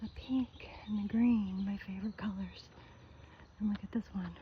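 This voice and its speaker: A woman's voice: mid-range voice, steady tone, normal speed, muffled recording, a little hiss or hum. Chatty older woman on a walk. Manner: chatty, gushing, softly spoken with breathy whispery stretches. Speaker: vlogger. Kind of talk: casual walking vlog. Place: outdoors on a neighborhood street.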